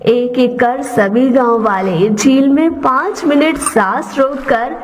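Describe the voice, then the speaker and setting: A woman's voice: high-pitched, slow pace, slight background noise. A woman giving scripted narration for a children's moral story. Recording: loud music under the voice.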